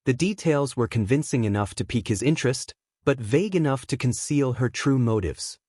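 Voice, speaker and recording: A man's voice: medium pitch, animated, fairly quick, darkish tone, husky, no echo, clean studio sound. A man reading a dramatic fiction story as a scripted audiobook narrator.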